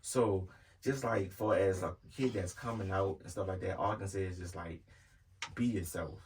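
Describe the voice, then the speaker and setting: A man's voice: low-pitched, moderate pace, darkish tone, recorded from a distance, slight background noise. A man, talking candidly as an interview guest and repeating himself earnestly. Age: 30s. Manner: earnest, repeats himself, heavy with "you know what I'm saying". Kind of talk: casual candid conversation.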